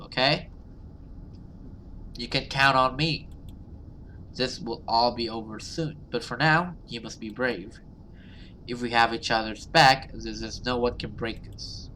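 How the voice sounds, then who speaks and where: Man reading as a brave young woman, bright tone. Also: medium pitch, a little slow, slight background noise. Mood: reassuring. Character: brave protective young woman, steadfast friend.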